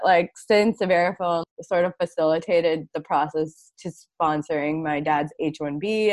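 A woman's voice: low-pitched, darkish tone, no echo. A woman in her late twenties with an American accent, co-hosting a conversational podcast discussion. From India-born, grew up in the United States. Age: late 20s. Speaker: podcast co-host.

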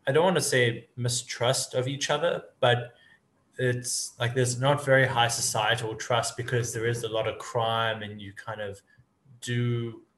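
A man's voice: mid-range voice, fairly even intonation, a little slow, slight background noise. A man from Africa, hosting an interview and asking long, rambling questions. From South Africa. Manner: rambling questions, soft affirmations. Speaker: podcast host. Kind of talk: casual interview. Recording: roomy echo.

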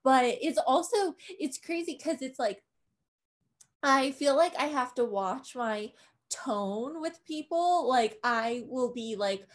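A woman's voice: sits high, expressive, takes it slowly. Woman with a New Jersey accent. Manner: laid-back, many "like" fillers. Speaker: podcast co-host. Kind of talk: casual chat.

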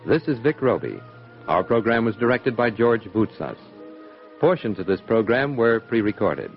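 A man reading the closing credits of an old radio program in a smooth, formal way. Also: lowish voice, sing-song, normal speed, faint music behind. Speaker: radio announcer. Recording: dull archival radio broadcast.

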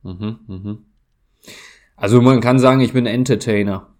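A man speaking with a German accent, playing a guessing game in casual chat, slow and hesitant, thinking aloud with many fillers. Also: lowish voice.

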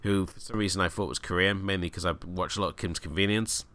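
A man with a very low voice that still sounds bright and forward. He talks about a film in an easy, enthusiastic, conversational way. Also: steady tone, quick pace, clean tone, no room sound. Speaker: film review co-host. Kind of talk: casual chat.

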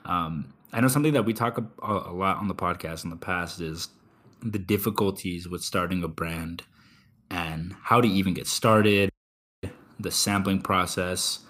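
A man's voice: low voice, moderate pace, a bit bright. A young man hosting a podcast in casual interview chat, laid-back, swearing freely and padding with many ums. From Texas. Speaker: podcast host.

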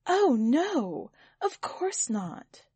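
A woman voicing an eager, obsequious manservant who flatters and reassures his master in a story. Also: high voice, very slow, slightly rough, no room sound, voice pushed up, exaggerated voice. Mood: eager flattery.

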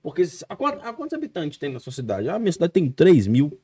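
Fast-talking joking host. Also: male voice, fairly high pitch, wildly swooping pitch, mellow, husky. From Minas Gerais, Brazil. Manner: joking, repeats words, swears casually. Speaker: podcast host. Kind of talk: casual podcast chat.

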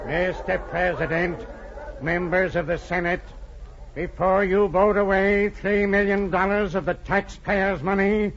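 A man's voice: high-pitched, steady tone, a little slow, fairly bright tone, slight background noise. A man playing an earnest nineteenth-century senator making a formal speech in 1940s radio drama style. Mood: earnest, persuasive. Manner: formal, oratorical. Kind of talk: 1940s radio acting, oratory. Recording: muffled 1940s radio recording.

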